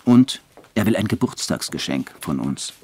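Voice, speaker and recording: A dry, bookish male writer with a low voice. He observes and narrates in terse phrases, as in a radio play about film people. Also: sing-song, moderate pace, a little mellow, husky, heavily acted. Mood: detached narrating. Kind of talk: German radio drama acting with narration.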